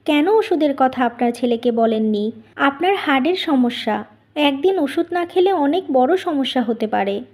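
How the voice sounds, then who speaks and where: High-voiced, kind young daughter-in-law, acted by a woman. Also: expressive, normal speed, a little mellow. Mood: caring. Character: kind, dutiful young elder daughter-in-law who makes pickles. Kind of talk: Bengali cartoon story dubbing.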